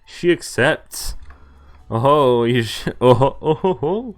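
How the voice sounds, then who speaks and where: A man's voice: medium pitch, animated, takes it slowly. A man streaming a visual novel, reading it aloud and adding casual, playful, reactive commentary. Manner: playful, reactive, acts out dialogue. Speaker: game streamer. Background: game music.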